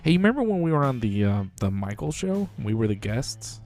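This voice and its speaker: A man's voice: medium pitch, sing-song, fairly quick, a little mellow, soft background music. A man co-hosting a podcast in casual chat, joking about himself and then answering in a thoughtful way. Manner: self-deprecating, joking, thoughtful. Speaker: podcast co-host, Air Force veteran.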